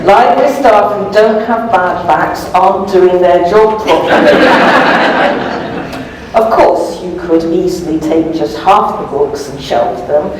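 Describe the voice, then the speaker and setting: A woman author reading her comic novel aloud to a live event, with a dry, deliberate delivery. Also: fairly low pitch. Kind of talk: live storybook reading, comic first-person narration. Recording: very echoey, distant mic, noisy room.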